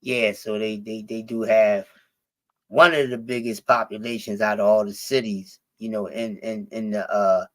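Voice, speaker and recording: A man's voice: lowish voice, fairly even intonation, moderate pace. Rambling, cussing Philly man. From Philadelphia. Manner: profane, rambling, with many restarts. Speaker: stream guest. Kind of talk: casual chat.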